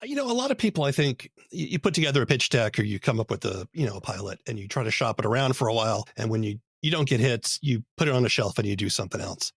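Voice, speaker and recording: A man's voice: medium pitch, lively intonation, quick pace, gravelly, hardly any pauses, husky, no room sound, studio quality. A man hosting a podcast, asking questions in a casual, conversational interview style.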